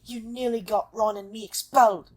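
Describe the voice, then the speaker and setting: A woman's voice: on the high side, fairly even intonation, normal speed, darkish tone, natural voice. A woman playing an indignant, direct schoolboy wizard in an audio drama. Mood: shocked, accusing. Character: twelve-year-old schoolboy wizard, indignant. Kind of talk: fan audio drama acting.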